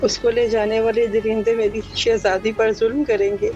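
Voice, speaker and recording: A woman's voice: on the high side, little pitch movement, moderate pace, mellow, lo-fi sound, faint music behind, lightly acted. A woman playing a worried village mother. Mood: anxious. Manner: caring, anxious.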